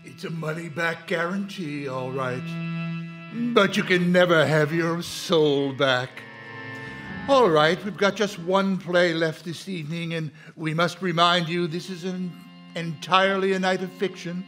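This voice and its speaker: A man plays a gloating, inhuman host of an old-time horror radio show. The voice is high for a male and sardonic, with light music behind. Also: fairly even intonation, a little slow, a bit bright. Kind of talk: live old-time radio drama presenting.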